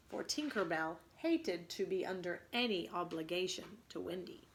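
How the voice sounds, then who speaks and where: A woman teacher reading a story aloud expressively to students, pausing to ask them questions. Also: mid-range voice, a little hiss or hum.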